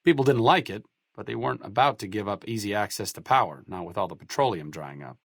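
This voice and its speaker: A man's voice: fairly low pitch, lively intonation, quick pace, gravelly, a bit bright, slightly rough, no room sound, heavily acted. A man playing a wry, educated, idealistic doctor-researcher in a post-apocalyptic video game, articulate and sardonic. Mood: casual, thoughtful. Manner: dry, articulate, sardonic, self-deprecating. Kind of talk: video game companion dialogue.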